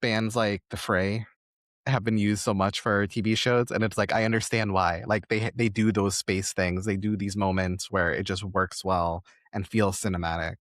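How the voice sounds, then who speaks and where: A man's voice: lowish voice, steady tone, normal speed, fairly bright tone, no room sound, studio quality. A man hosting a podcast, talking in a casual, earnest interview style and rambling his way toward each question. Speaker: podcast host.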